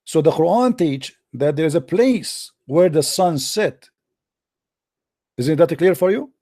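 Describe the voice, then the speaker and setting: A man's voice: fairly high pitch, animated, mellow, dry recording. Insistent argumentative man, dry close sound. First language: Arabic. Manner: slows down to read scripture aloud. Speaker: host. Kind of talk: live call-in debate.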